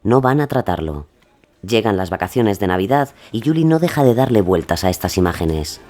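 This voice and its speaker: A male podcast narrator with a lowish, mellow voice tells a documentary story. His intonation moves a lot, and the scripted delivery is measured. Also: expressive. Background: faint music.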